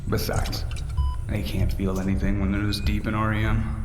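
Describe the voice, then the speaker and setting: An actor playing a menacing, predatory police detective with a taunting, creepy manner, in a horror audio drama. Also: male voice, on the low side, completely flat delivery, moderate pace, background noise, lightly acted. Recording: echoey long reverb, music behind.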